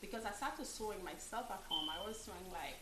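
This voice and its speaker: A woman's voice: mid-range voice, expressive, fairly quick. A woman from France, chatting casually as an interview guest in hesitant English. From born in Africa, grew up in France. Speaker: guest, fashion designer and entrepreneur. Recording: roomy echo, clearly noisy background.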